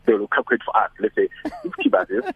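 Raspy man on phone. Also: lowish voice, normal speed, no room sound. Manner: animated, persuasive, asks rhetorical questions. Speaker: radio guest speaker. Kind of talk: motivational phone-in talk. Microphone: telephone. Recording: tinny dry phone line.

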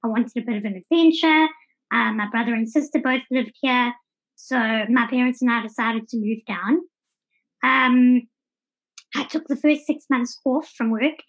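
A woman's voice: fairly high pitch, moderate pace, highs cut off. A South African woman in her thirties giving articulate, orderly answers as a guest in a conversational interview. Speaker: podcast guest.

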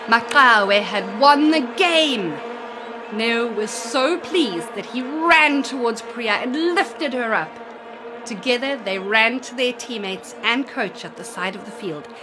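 A woman's voice: a little high, noisy background. A woman storyteller narrating a children's story expressively, with dramatic emphasis.

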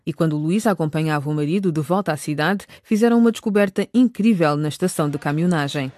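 A woman's voice: low voice, fast talker, darkish tone, dry recording. A woman reading scripted narration for a radio drama.

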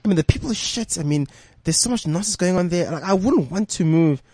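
A man from Johannesburg with a South African accent, whose first language is Tswana, hosting a casual panel podcast in an animated, emphatic way. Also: high voice, no echo, studio quality. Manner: animated, emphatic, quick asides. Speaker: podcast host.

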